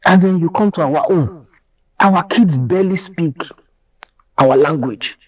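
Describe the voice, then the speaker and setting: A man with a Nigerian accent whose first language is Yoruba, telling an animated story and mimicking people. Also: fairly high pitch, unhurried, far from the mic, rough recording. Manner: lively, mimics people, mixes in pidgin. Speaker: content creator.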